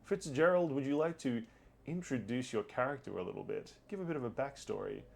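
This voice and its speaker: A man's voice: animated, brisk, darkish tone, slight background noise. A man hosting a tabletop game as game master, chatting casually with a playfully theatrical manner. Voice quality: deep, gravelly.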